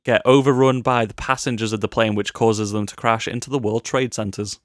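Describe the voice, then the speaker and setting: A man's voice: on the low side, fairly even intonation, brisk, no echo, clean studio sound. A man co-hosting a casual film podcast, giving exasperated, emphatic opinions. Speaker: podcast co-host.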